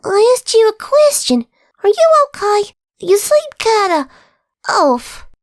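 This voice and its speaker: A woman voicing a curious, concerned little farm filly in a dramatic story reading, squeaky and girlish, with an American Southern accent. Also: big pitch swings, slow, no echo, studio quality, exaggerated voice. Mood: worried. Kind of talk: dramatic fan fiction reading.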